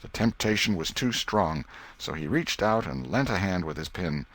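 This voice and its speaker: A man's voice: low-pitched, lively intonation, normal speed, a bit bright. A man reading literary fiction as an audiobook narrator in a scripted storytelling style.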